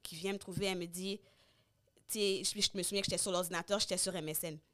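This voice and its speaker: A woman's voice: fairly low pitch, steady tone, fast, fairly bright tone. A young woman from Canada, a guest in a casual podcast chat telling a personal story with quoted dialogue. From Canada, schooled in Haiti.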